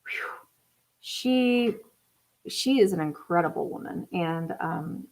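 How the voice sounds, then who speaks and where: A woman's voice: fairly low pitch, vocal fry, a little hiss or hum, low-quality recording. A woman in her thirties, from the Atlanta area, chatting casually on a live stream as a musician talking with her viewers, rambling with lots of fillers. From United States (Atlanta area). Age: 30s. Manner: chatty and rambling, many fillers, laughs often.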